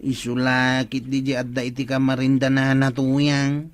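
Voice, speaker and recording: An actor playing a stubborn, crafty village goat trader in an Ilocano radio comedy drama, with an Ilocano accent. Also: male voice, mid-range voice, monotone, normal speed, dry recording, close to an everyday voice. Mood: scheming.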